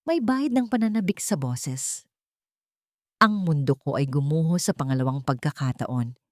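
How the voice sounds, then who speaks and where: A woman tells a dramatic first-person story in a low, steady voice. Her delivery is measured and somber. The recording is close and dry, with no room around it. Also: dry recording. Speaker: audiobook narrator.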